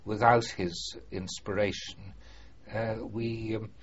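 An older man being interviewed about his past, speaking formally and hesitantly with many pauses and fillers. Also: lowish voice, flat delivery. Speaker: former prisoner of war, interviewee. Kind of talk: oral history interview. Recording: muffled archive recording with faint hiss.

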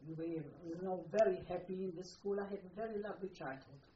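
An elderly woman with a Polish accent recounts her life in halting, repetitive English. She is recorded on muffled archival tape in a roomy space, with faint hiss behind her. Her pitch sits in a middle range. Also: warm tone. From Poland. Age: older. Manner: halting, non-native phrasing, repeats words. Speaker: interviewee. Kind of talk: oral history interview.

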